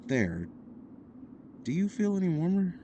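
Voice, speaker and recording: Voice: medium pitch, unhurried, lo-fi sound, slight background noise. An actor voicing a kind young boy who teases and reassures his friend. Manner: warm, teasing, reassuring, animated. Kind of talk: audio drama acting.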